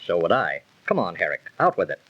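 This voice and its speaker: Genial gentleman, animated, old radio. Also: low voice, fairly quick, exaggerated voice. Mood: curious. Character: genial gentleman at a café, teasing host. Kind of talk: 1930s radio acting. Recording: dull lo-fi 1930s radio recording.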